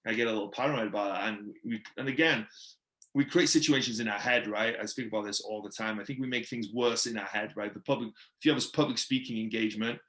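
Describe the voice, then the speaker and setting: A man's voice: lowish voice, steady tone, fast, a bit bright. A man with a Welsh accent, whose first language is Welsh, hosting a podcast interview in a laid-back, chummy way and laughing often. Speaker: podcast host. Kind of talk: casual interview chat. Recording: roomy echo.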